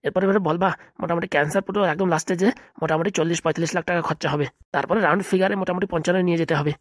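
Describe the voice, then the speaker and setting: A man's voice: on the high side, steady tone, very fast, dry recording, studio quality, lightly acted. A man playing a greedy, scheming hospital boss in a comedy cartoon, bossy and smug in a conversational way. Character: greedy scheming male hospital boss, self-satisfied crook.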